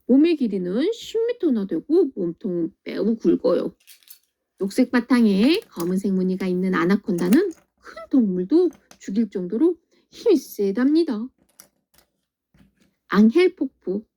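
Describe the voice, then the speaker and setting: A woman teacher reading a children's picture book aloud in a gentle, sing-song way, often asking the listener questions. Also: mid-range voice, sing-song, a little slow.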